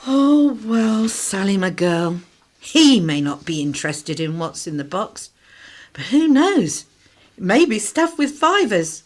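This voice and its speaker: A woman's voice: medium pitch, slow pace. Woman acting sarky put-upon mum in a radio play, wry, voice swings up and down a lot. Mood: curious, resolute. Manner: expressive, wry, retorting. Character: put-upon, sarcastic working-class wife and mother.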